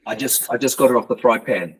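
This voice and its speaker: A man's voice: medium pitch, fast talker, slightly rough, soft background music. A man hosting a podcast, joking and teasing his guest in casual chat. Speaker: podcast host.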